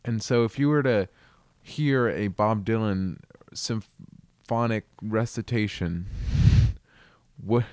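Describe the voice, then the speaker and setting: Deep-voiced man in amused casual commentary. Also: slow, no echo. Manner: relaxed, amused, rambling with frequent fillers. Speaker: video host reviewing an album.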